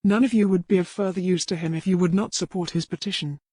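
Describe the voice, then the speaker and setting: A woman's voice: lowish voice, fairly even intonation, fast, mellow, husky, dry recording, studio quality. A woman voicing a loyal, world-weary old herbalist servant in a historical romance audiobook. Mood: reassuring. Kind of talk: dramatic audiobook character reading.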